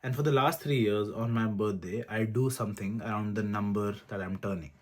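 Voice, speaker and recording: Young guy from india vlogging advice, lowish voice, lots of ums, earnest. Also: fairly quick. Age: 20s. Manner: earnest, repetitive listing, frequent ums. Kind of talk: casual direct-to-camera advice talk. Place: room with awards on display.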